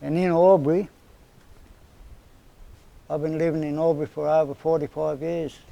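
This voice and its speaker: Older aussie bloke in his 70s telling old stories, gravelly low warm voice, kinda flat delivery. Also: moderate pace, muffled recording. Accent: Australian. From northern New South Wales, Australia. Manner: plain-spoken, rambling storyteller with everyday slang. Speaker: interviewee, community elder. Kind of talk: storytelling in casual conversation.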